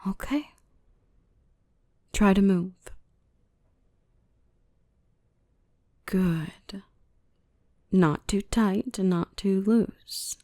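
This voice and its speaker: Girl voicing a soft dark elf mistress, asmr whispery, mellow and really close dry sound, soothing n protective. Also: mid-range voice, dry recording. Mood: tender, praising. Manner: soothing, unhurried, reassuring, often whispering. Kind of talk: ASMR roleplay, softly whispered and spoken.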